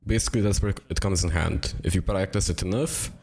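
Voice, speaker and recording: A young man explaining a game tactic in a relaxed, rambling way, sounding raspy and low on energy from a cold. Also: a little fast. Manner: relaxed and rambling, with many fillers. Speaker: gaming video creator. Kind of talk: casual tutorial narration. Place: home apartment.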